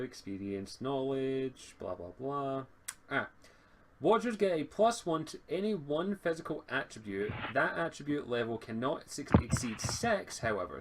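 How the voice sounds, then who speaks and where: Man running a tabletop game, noisy recording. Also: medium pitch. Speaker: game master, host. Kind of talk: casual explaining of tabletop game rules. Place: online group game session, streamed. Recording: noticeable background noise.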